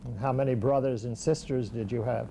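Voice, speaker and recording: A man interviewing for an oral history project, asking short, measured questions. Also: mid-range voice, steady tone, creaky, mellow, a little hiss or hum, poor audio. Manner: measured, brief prompting questions.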